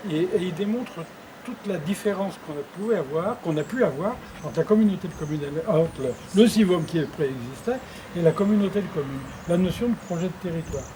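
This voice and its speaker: Man, high voice, interview testimony, halting, repeats words, reflective, noticeable background noise. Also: lively intonation. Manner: hesitant, halting, often repeats words while searching. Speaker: former president of an intercommunal council, interviewed. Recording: clearly noisy background ambience.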